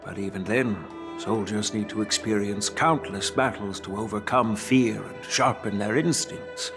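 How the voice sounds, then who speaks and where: An actor playing an elderly master swordsmith and grandfather, telling a grave story in a measured voice in a game cutscene. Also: on the low side, over music. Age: older. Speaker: game character. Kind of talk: video game cutscene voice acting.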